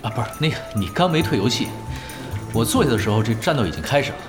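A male actor plays a young ace gamer, unassuming and easygoing, in an audio drama. He speaks casually in a medium-pitched voice with lively inflection, over background music. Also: fast, close to an everyday voice. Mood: sheepish, nonchalant. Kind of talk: Mandarin audio drama acting.

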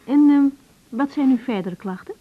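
An actress playing a calm young doctor in a radio play, with a polite, reassuring manner and a slightly husky voice on a thin recording. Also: female voice, sits high, normal speed. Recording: thin phone-like sound.

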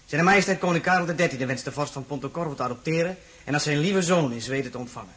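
Actor as a ceremonious, higher-voiced royal chamberlain. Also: male voice, fast, fairly bright tone, lightly acted. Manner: formal, stately announcing. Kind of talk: radio play acting.

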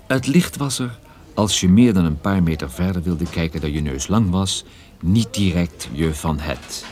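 A man acting as a wry, world-weary private detective narrating a radio drama in a dry, measured, husky way. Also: sits low, a little mellow, slight background noise. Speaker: narrator and lead actor in a radio play.

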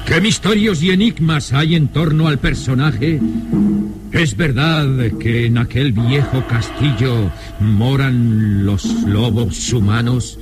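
A man's voice: medium pitch, slow pace, music in the background. A man narrating a vintage radio drama in a solemn, suspenseful, theatrical style.

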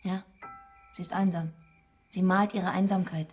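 A woman's voice: on the low side, steady tone, a little slow, over music, close to an everyday voice. An actress playing a matter-of-fact village wife and mother in a German radio crime drama. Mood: matter-of-fact gossip.

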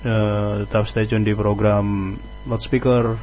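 Low, even-toned man, slow radio host. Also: faint music behind. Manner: halting, searching for words. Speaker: radio host interviewing a band. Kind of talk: casual radio interview.

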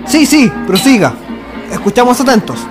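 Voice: medium pitch, big pitch swings, normal speed, close to an everyday voice. An actor playing a polite, eager interviewer in a scripted radio drama. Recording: music and crowd noise behind.

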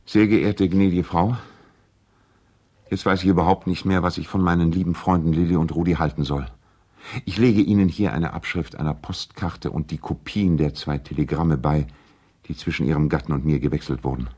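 An actor playing a courteous, earnest lawyer and family friend, reading out letters in formal, measured diction in a radio play. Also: male voice, deep voice, fairly even intonation, warm tone.

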